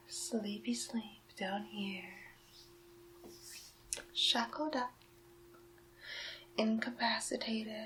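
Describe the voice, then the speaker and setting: A woman playing a sly, possessive medieval witch in a hushed, drawn-out, teasing ASMR roleplay. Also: mid-range voice, slight background noise, poor audio. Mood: gloating. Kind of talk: ASMR roleplay, soft speaking and whispering.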